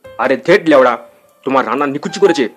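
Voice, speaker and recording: A man's voice: mid-range voice, lively intonation, fast, soft background music, close to an everyday voice. A man voicing an irritable, scolding older father in a comic cartoon. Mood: exasperated, accusing. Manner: scolding, exasperated, blustering.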